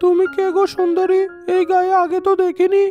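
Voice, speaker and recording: A woman's voice: very high-pitched, completely flat delivery, a little fast, dull audio, soft background music. A woman voicing an obsequious, deferential landlord's steward in a cartoon. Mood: sly. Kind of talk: cartoon dubbing.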